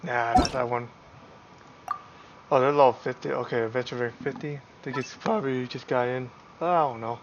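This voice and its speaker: A man livestreaming a game with casual commentary, halting as he thinks aloud. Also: mid-range voice, dull audio, background noise. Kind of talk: casual live gameplay commentary. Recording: loud game music behind voice. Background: video game music.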